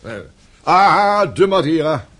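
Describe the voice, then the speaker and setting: Radio play: lazy, jovial family man, blustery and stubborn, animated delivery, slightly rough voice. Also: a little high, takes it slowly, exaggerated voice. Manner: blustery, hesitant repetitions, hums to himself. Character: lazy, stubborn, jovial husband and amateur composer. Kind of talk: radio drama acting.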